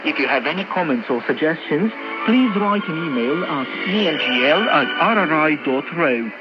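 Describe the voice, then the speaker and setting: A male announcer reads scripted programme information in a slightly high voice. The shortwave sound is dull, and music plays very loudly behind him. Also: expressive, moderate pace. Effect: shortwave radio. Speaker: radio announcer. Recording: muffled shortwave radio with loud music. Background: loud music.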